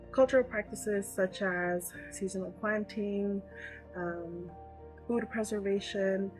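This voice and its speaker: A woman in her twenties speaking thoughtfully in a documentary interview, pausing with fillers. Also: medium pitch, poor audio. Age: 20s.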